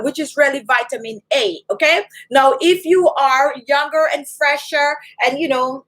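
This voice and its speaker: High-voiced Jamaican woman giving skincare tips. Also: fairly bright tone. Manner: chatty, emphatic, repeats key points. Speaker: beauty channel host. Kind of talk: skincare tutorial to camera.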